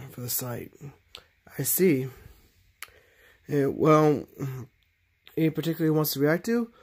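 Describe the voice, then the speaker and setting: A man voicing chatty plush toy characters for a reaction show, speaking in a halting, stammering way. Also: a little high, darkish tone. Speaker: host of puppet reaction show. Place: bedroom.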